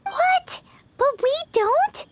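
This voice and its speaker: A squeaky tiny companion creature. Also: female voice, animated, very slow, heavy background noise, exaggerated voice. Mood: indignant. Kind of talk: video game voice acting.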